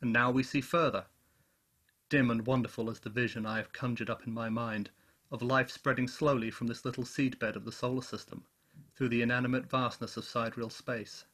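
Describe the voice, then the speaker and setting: A man playing a solemn professor who survived an invasion, narrating a radio play in measured, literary phrases. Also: medium pitch, level tone, fairly bright tone, lightly acted. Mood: reflective, solemn.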